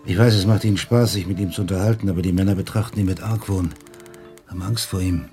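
An actor playing a dutiful, uneasy ship's lieutenant in a radio drama, formal and deferential. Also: male voice, sits low, steady tone, fast talker, warm tone, soft background music, lightly acted. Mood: uneasy, respectful. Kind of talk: German radio drama acting.

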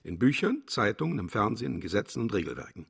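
Husky, pompous, cynical philosopher. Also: male voice, on the low side, big pitch swings, quick pace, fairly bright tone, heavily acted. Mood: grandiose. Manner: declamatory, grandiose, lecturing. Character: pompous, cynical male philosopher, vain and contemptuous. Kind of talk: German radio play acting.

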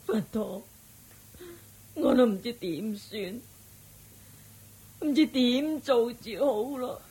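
A careworn elderly mother in a radio drama, with a very husky, soft-toned voice. She speaks Hong Kong Cantonese slowly and plaintively, sighing and fretting over her family. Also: on the high side, lively intonation, exaggerated voice. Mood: tearful, perplexed.

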